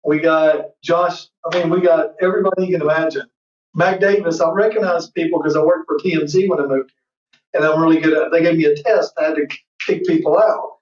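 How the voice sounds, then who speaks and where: A man in his fifties, a guest who hosts an entertainment show, telling rambling, excited stories in casual chat over a video call. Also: fairly high pitch, brisk. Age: 50s. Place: indoor room. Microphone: far camera on a video call. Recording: roomy, distant, muffled video-call audio.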